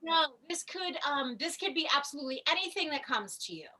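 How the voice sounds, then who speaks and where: A woman's voice: high voice, expressive, fairly quick, sharp tone. A woman hosting a group video call, chatting casually and informally. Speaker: host. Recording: noisy video call audio with clicks.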